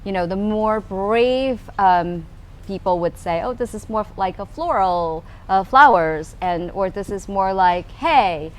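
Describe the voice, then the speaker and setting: A woman's voice: medium pitch, lively intonation, unhurried, low-quality recording. A woman in a casual conversation at a tasting, explaining earnestly with many fillers. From United States. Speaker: co-founder of a taste and smell group. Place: tasting room in a tea factory. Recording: faint room noise.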